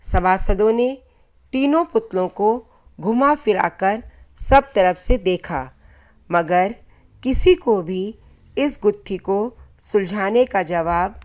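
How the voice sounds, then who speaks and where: A woman storyteller narrating a scripted moral folktale in short, deliberate phrases. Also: medium pitch, darkish tone.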